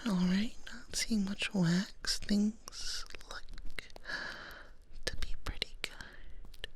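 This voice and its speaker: A woman playing a gentle, reassuring female dragon nurse in a soft, whispered ASMR medical roleplay. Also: mid-range voice, steady tone, extremely slow. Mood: calm, reassuring. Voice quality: breathy whisper.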